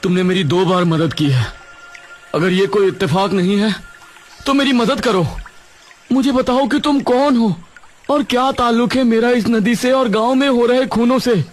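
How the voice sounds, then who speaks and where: A man voicing a bewildered, anxious young male villager, urgent and questioning, in an animated horror story. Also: extremely high pitch, normal speed, pitched above the performer's own voice. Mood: puzzled, pleading.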